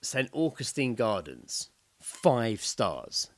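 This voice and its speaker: Man, southern English accent, animated delivery, casual comic banter, sweary, podcast co-host, dry sound. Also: mid-range voice, slow, no room sound. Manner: sweary, jokey, blokey.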